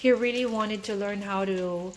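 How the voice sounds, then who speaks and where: A woman's voice: mid-range voice, steady tone, normal speed. A mother and channel host from the Philippines, chatting casually in her home kitchen and prompting her son with step-by-step questions. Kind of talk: casual home cooking chat. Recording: noisy home kitchen with clicks.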